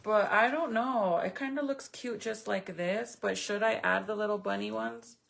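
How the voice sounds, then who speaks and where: Chatty, blunt woman with a gravelly voice. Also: medium pitch, normal speed, a bit bright. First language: Spanish. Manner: blunt, profane, chatty, talks to viewers and commenters. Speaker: vlogger. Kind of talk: casual chat while crafting.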